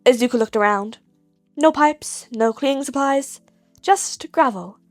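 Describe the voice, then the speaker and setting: Woman reading a story audiobook style, kinda high voice, very dry close sound, music behind. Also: measured pace, no room sound. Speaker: podfic narrator. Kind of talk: scripted fiction narration.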